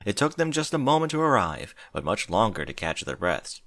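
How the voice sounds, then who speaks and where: A man reading a story aloud as narrator, in an expressive scripted style. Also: on the low side, fairly quick, dry recording, studio quality. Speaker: fan fiction narrator.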